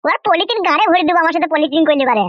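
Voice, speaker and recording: A man's voice: extremely high pitch, very fast, dry recording, lo-fi sound. A man voicing a hot-headed, gullible village husband in a comic cartoon, blustering and whining in a rural West Bengal accent. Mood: furious, blustering. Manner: blustering, whining, mispronounces words.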